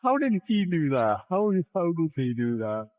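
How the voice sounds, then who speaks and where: A man's voice: on the high side, big pitch swings, moderate pace, no echo, narrow, tinny audio. A man reacting in casual gaming banter, exclaiming and swearing.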